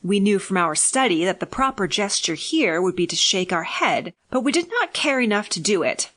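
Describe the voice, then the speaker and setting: A woman narrating a fiction audiobook in a measured, deliberate, scripted reading. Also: mid-range voice, big pitch swings, fairly bright tone.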